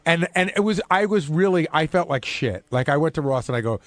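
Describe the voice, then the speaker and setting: A man's voice: a little high, lively intonation, fairly quick, no echo. A man in a casual panel discussion, telling stories conversationally and quoting exchanges. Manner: conversational storytelling, acting out quoted exchanges. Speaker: radio show staff member.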